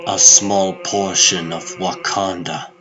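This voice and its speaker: A man voicing a solemn, world-weary sorcerer in a comic dub, speaking gravely and with portentous deliberation. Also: low-pitched, flat delivery, slow pace, music in the background. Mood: solemn, resigned. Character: solemn, world-weary adult male sorcerer serving a god. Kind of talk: comic dub dramatic monologue.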